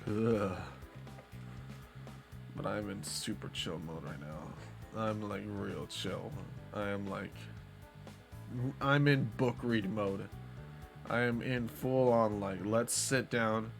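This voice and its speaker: A man's voice: on the low side, slow, gravelly, long pauses, music in the background. A young man chatting casually on a live stream, rambling in a laid-back way with lots of fillers.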